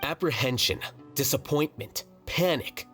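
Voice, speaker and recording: An actor playing a coolly rational young male scholar in a video game, speaking in a measured, detached, analytical way. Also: mid-range voice, lively intonation, fairly bright tone. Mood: calm, explaining. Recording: music behind the voice.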